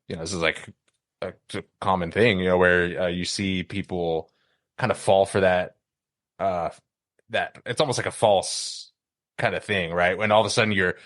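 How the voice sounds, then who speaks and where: Male podcast co-host, deep voice, close dry sound, loose and rambling conversational delivery that circles around points. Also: steady tone, moderate pace, fairly bright tone, no echo. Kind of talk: casual chat.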